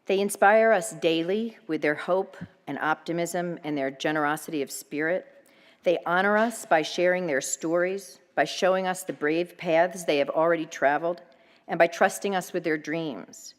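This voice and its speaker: A woman who leads a nonprofit gives a prepared speech at an event, speaking in a measured, formal, grateful way. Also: deep voice. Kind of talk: prepared speech to a live audience. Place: event hall. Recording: long reverb of a large event hall.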